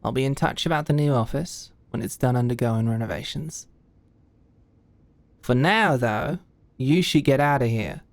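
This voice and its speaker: A woman's voice: very deep voice, moderate pace, no echo. A woman playing a composed, wry senior corporate mentor who muses and confides in a scripted ASMR audio roleplay. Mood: fond, wry.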